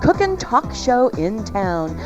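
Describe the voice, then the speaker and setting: Animated female radio co-host. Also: a little high, slow, dull audio, over music. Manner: upbeat, playful, emphatic. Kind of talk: lively radio announcing and ad reading.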